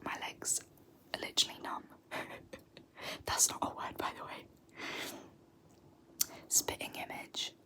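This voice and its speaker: A young British woman whispering softly in an ASMR video, repeating words slowly with small laughs. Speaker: ASMR creator. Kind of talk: whispered ASMR word pronunciation.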